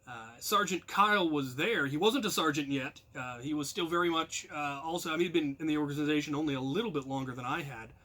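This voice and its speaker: American man, forceful bright tone, fairly high pitch, casual storyteller, animated, self-deprecating. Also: fast, hardly any pauses. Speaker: storyteller and channel host.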